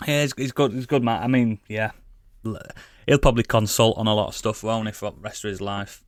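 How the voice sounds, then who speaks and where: A man's voice: on the low side, steady tone, brisk, dry recording. A man co-hosting a podcast, chatting casually in a blokey, slangy way. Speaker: podcast co-host. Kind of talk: casual banter.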